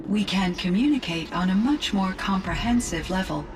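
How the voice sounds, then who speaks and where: A woman playing a gentle artificial intelligence in a video game, speaking in a formal, precise and measured way. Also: medium pitch, lively intonation, recorded from a distance, noisy background, lightly acted. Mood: calm, reflective.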